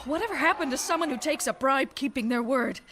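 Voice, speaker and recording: A woman's voice: high-pitched, animated, a little fast. An actress in an audio drama playing a feisty, sarcastic rebel woman pilot. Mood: wry. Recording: loud music behind the voice.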